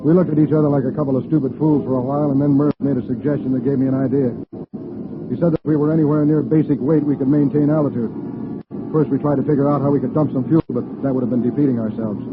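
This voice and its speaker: A man with an American accent acting a brisk, steady bomber colonel in an old radio drama, clipped and commanding. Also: medium pitch, over music, lightly acted. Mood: measured narration. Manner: clipped, commanding, gives orders. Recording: tinny, very noisy old radio recording.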